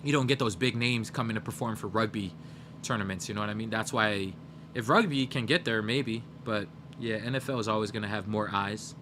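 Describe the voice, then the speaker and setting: A young man livestreaming, chatting casually with his viewers and rambling as he brainstorms jokes out loud. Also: lowish voice, a little fast, noisy background. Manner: rambling, brainstorming jokes aloud, talking to chat. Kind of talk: casual chat with live viewers.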